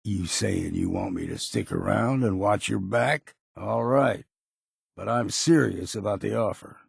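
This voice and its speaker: An actor playing a gruff, cynical old wilderness guide in a video game, speaking in a gravelly, husky voice with a curt, folksy manner. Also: male voice, fairly low pitch, very animated, a little slow, no room sound, clean studio sound, full character voice. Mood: gruffly friendly. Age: older. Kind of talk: video game character dialogue.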